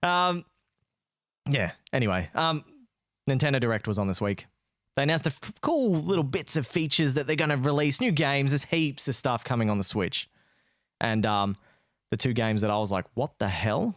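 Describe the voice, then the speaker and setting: A man's voice: medium pitch, moderate pace, dry recording, studio quality. A young Australian man co-hosting a gaming podcast, giving news in a laid-back, matey, casual way.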